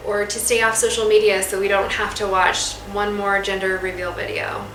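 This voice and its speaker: An earnest woman in her thirties speaks with sincere warmth of feeling about her own story. Her voice sits well back from the mic. It carries a roomy echo and steady background noise. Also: medium pitch, normal speed. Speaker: wife sharing her infertility story. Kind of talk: heartfelt personal storytelling. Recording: roomy, distant, noisy.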